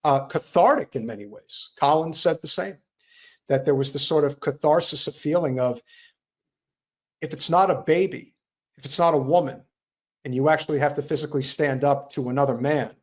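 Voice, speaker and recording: Earnest man in casual talk. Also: a little high. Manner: earnest, halting, repeats himself. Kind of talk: casual political chat.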